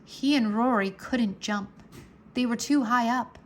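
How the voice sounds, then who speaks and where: A woman reads a children's adventure aloud as narrator. Her voice sits on the high side, and she takes her time with measured, dramatic, expressive phrasing. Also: slight background noise. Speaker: storybook narrator.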